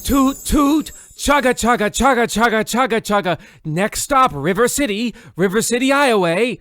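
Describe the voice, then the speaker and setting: An actor playing an exuberant train caller, chanting loudly in an old-time radio comedy. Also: male voice, very high voice, animated, moderate pace, dry recording, soft background music. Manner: loud, chanting announcement.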